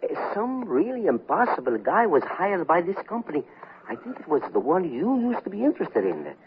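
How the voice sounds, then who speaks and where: A man's voice: sits high, huge pitch swings, normal speed, muffled recording, over music. An actor playing a chatty, muddled, well-meaning man in a radio comedy, rambling and hesitant, with a deferential manner. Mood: gossipy. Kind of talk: 1970s radio comedy acting. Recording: thin, noisy radio broadcast.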